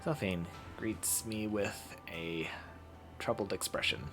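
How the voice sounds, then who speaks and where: Low-voiced man streaming a game playthrough. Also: music in the background. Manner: halting read-aloud with stumbles and self-corrections. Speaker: streamer playing a visual novel. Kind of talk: live playthrough, reading game text aloud.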